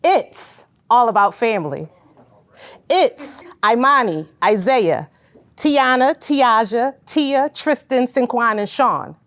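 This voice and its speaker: A woman in her forties from Connecticut pitches her business in a loud, emphatic voice that sits high. She talks straight to her listeners and calls them y'all. The sound is dry and close, with no room echo. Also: lively intonation, slow. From Hartford, Connecticut. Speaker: business owner.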